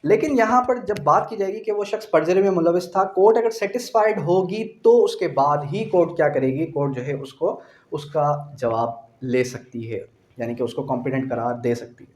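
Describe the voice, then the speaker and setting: A man with a Pakistani accent lectures students in a slightly high-pitched voice. He explains step by step, posing rhetorical questions and answering them himself. English legal terms and quotations are mixed into his speech. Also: normal speed, a little mellow. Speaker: law teacher. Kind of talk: exam-prep lecture to students.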